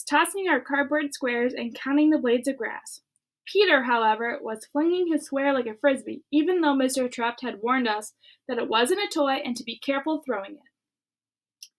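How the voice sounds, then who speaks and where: A woman reading a children's book aloud in an expressive way, with casual chat to her listeners. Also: high voice, low-quality recording.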